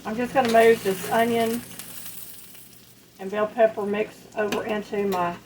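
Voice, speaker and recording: Female home cook, mid-pitched voice, chatty folksy delivery, recorded in a kitchen with noticeable sizzling behind. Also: fairly even intonation, a little slow, darkish tone, rough recording. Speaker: home cook hosting a recipe video. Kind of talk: casual cooking demonstration. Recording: clear background kitchen noise, frying sizzle. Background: sizzling skillet, stirring.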